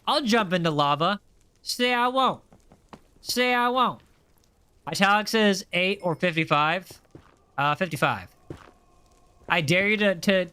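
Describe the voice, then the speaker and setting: A man's voice: high-pitched, bright, forceful tone, smooth, no room sound. A young American man with a general American accent, chatting casually and upbeat while playing a game on a livestream and reading chat aloud. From USA. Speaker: streamer.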